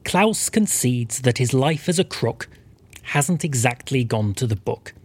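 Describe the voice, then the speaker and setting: Animated, husky male rhyming storyteller. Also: mid-range voice, unhurried, dry recording. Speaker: co-narrator and writer. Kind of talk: scripted rhyming storybook narration.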